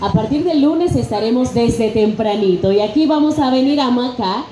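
A woman's voice: a little high, lively intonation, moderate pace, warm tone. A young woman with a Dominican Spanish accent announcing as master of ceremonies over a handheld microphone. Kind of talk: event announcing. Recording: echoey venue PA, music behind.

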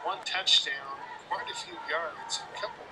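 A man calling play-by-play for a high school football game, quick and excited on big plays. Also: very noisy. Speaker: play-by-play announcer. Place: outdoor high school football stadium at night.

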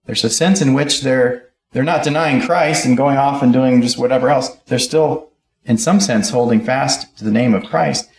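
A man's voice: mid-range voice, normal speed, darkish tone, roomy sound, rough recording. A middle-aged man preaching a sermon at a pulpit, reading scripture aloud and explaining it verse by verse. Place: church auditorium, speaking at a pulpit.

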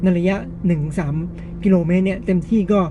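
A man's voice: high-pitched, fairly even intonation, fast, muffled recording, noisy background. A man hosting a casual gadget test, explaining things step by step to viewers in a polite way. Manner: explanatory, frequent polite particles. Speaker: gadget review host.

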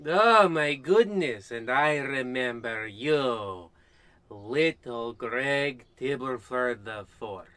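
A man's voice: medium pitch, expressive, slow, pushed and bright, dry recording. A man playing a muddled, theatrical old woman, a rambling former teacher, in a parody of old radio drama. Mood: muddled, apologetic.

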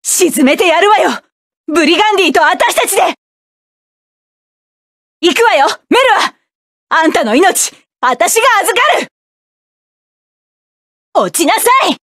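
A woman voicing a fierce, commanding young mech pilot in a game, with forceful battle shouts and clipped commands. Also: extremely high pitch, expressive, unhurried, pauses often, no echo, studio quality, heavily acted. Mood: cool. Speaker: voice actress. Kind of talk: game voice clips.

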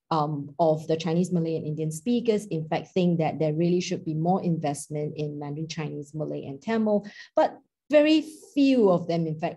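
A middle-aged woman with a Singaporean English accent gives an academic talk over a video call. Her voice sits a little low and sounds mellow and slightly husky. She speaks in a loose, conversational way, full of small fillers and hedges. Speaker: linguist lecturer. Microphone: laptop webcam on video call.

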